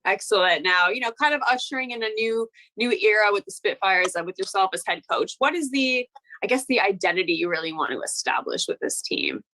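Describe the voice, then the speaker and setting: A woman's voice: mid-range voice, fairly quick, sharp tone, rough recording. A woman conducting a prepared sports interview.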